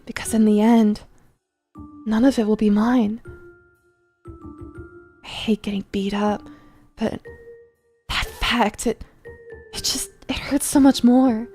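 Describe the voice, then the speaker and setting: A woman playing a resentful younger boy in a drama monologue, brooding in a subdued, bitter and halting way. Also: a little high, darkish tone, faint music behind, lightly acted. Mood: hurt, brooding.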